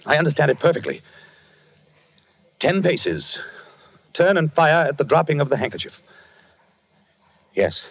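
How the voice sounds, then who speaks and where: An actor playing a gentleman who talks formally and politely in his sleep, in a 1940s radio drama. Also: a little high, very animated, measured pace, creaky, long pauses, husky, no room sound, heavily acted. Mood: dreamy, formal. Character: gentleman calmly preparing for a duel in his sleep. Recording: dull vintage radio recording.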